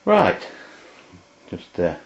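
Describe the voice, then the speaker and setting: A man giving a casual, halting, unscripted product review as he goes. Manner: halting, hesitant delivery. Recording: dull lo-fi amateur video sound.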